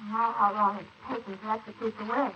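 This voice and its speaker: An actress playing a brazen, impatient, scheming bride in a 1940s radio drama style on an old recording. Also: female voice, fairly high pitch, level tone, a little fast, lightly acted. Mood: smug, scheming. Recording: noisy muffled 1948 wire recording of transcription discs.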